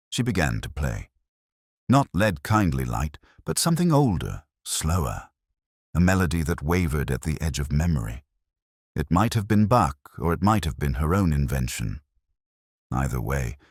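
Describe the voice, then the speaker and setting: Very deep, weary detective played by a man. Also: slightly rough, no room sound, clean studio sound, full character voice. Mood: melancholy, reflective. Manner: solemn, wistful, measured. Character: weary, melancholy, reflective male English police detective. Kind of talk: first-person literary mystery narration.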